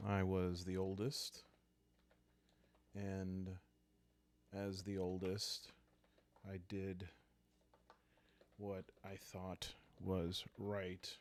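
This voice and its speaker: A man voicing a proud medieval crusader who recounts his life in a solemn, measured way for a sleepy roleplay. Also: sits low, monotone, heavily acted.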